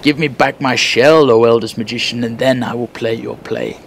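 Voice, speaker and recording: Guy doing a big stubborn crab, slow and bargaining, storybook style. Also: medium pitch, fairly even intonation, slight background noise, close to an everyday voice. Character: proud giant crab, stubborn, later tiny.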